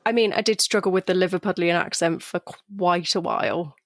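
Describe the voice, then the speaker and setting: A woman's voice: on the low side, steady tone, brisk, dry recording, clean studio sound. A woman hosting a podcast and chatting in a friendly way, laughing as she reminisces. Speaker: podcast host, stylist. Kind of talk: casual chat.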